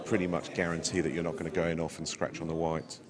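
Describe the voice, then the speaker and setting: A man calls a pool match in a brisk, explanatory way. His voice is very deep, and he talks fast from one shot to the next. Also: flat delivery, crowd noise. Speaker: lead commentator. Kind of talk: live pool commentary.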